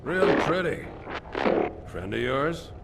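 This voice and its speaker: A man's voice: fairly high pitch, big pitch swings, slow pace, creaky, heavy background noise, full character voice. A man playing an authoritative military captain in a video game, terse, dry and commanding. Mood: dry, curt. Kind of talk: video game cutscene voice acting.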